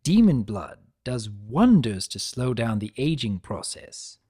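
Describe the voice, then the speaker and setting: A man's voice: medium pitch, big pitch swings, warm tone, slightly rough. A man voicing a theatrical, swooping, needling chaos spirit. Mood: sly, friendly. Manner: taunting, emphatic, drawn-out theatrical delivery. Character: theatrical male chaos spirit, a draconequus, needling yet sympathetic. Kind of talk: dramatic storybook reading.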